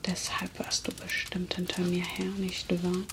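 A woman voicing a teasing, predatory female vampire kidnapper in an ASMR roleplay, whispering softly and flirting close to the mic. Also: on the low side, monotone, a little slow. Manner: soft whispering, playful and flirtatious. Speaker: ASMR creator.

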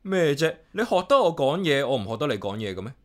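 An actor playing a solitary, caring young office worker with a Hong Kong accent, narrating and chatting casually in a radio drama. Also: male voice, fairly high pitch, sing-song, fast. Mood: playful. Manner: casual, talks playfully to himself and pet. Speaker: lead character and narrator. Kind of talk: radio drama dialogue and inner-monologue narration.

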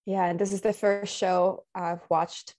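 A woman's voice: mid-range voice, flat delivery, a little slow, a little mellow. A woman from Finland, speaking as a podcast guest in a casual, reflective, earnest way. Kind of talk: casual conversation.

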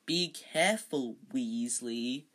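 A woman's voice: lowish voice, voice pushed up. A woman voicing a spiteful schoolboy bully, mocking and scathing, in a storybook reading. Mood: sneering. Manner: loud, drawn-out, mocking.

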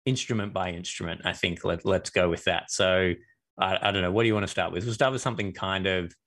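A man's voice: low-pitched, steady tone, quick pace, fairly bright tone. A man co-hosting a casual podcast chat, often hesitating and repeating words. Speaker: podcast co-host.

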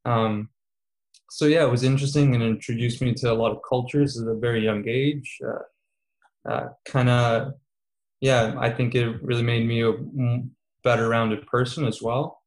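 A man's voice: medium pitch, steady tone, moderate pace, rough recording. A man in his twenties, a student guest in a casual interview, talking with many fillers and easy laughs. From Serbia, raised in Qatar. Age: 20s.

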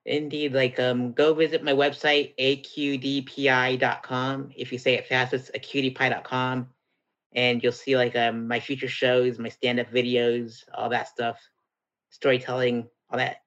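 Calm guest man, muffled lo-fi sound. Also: medium pitch. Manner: calm, halting, says "indeed". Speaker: guest comedian. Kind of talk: casual chat.

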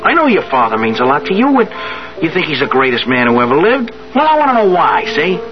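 An actor playing a brash, pushy young streetwise suitor in a 1970s radio drama, blunt and slangy. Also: male voice, on the high side, wildly swooping pitch, fast talker. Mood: demanding, jealous. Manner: blunt, insistent, slangy working-class talk. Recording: dull lo-fi old radio, music behind.

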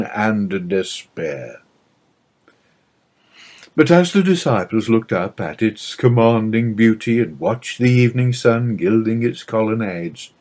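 Slow, solemn male devotional narrator. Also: medium pitch. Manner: solemn, measured, expressive reading. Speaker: reader of a religious book.

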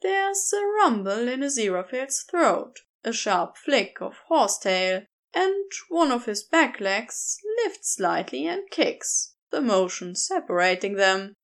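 A woman reading scripted fiction as a podfic narrator, in an expressive, deliberate storytelling manner. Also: fairly high pitch.

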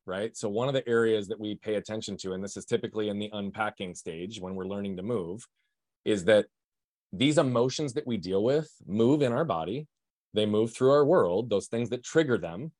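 Fast, loud, high-energy man. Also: dry recording, clean studio sound. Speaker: guest, performance coach. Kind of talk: podcast interview, emphatic explaining.

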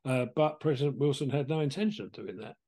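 A man with an English accent speaks in a reflective, measured manner. He sounds like a podcast co-host setting out his thinking in open conversation. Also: medium pitch, dark tone. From England. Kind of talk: conversational discussion.

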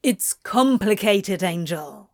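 A woman's voice: medium pitch, animated, slow pace, no room sound, clean studio sound. A woman voicing a flustered, defensive, foul-mouthed male supernatural being who mutters and snaps evasively in an audiobook story. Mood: snappish. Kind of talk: fan fiction audiobook character voice.

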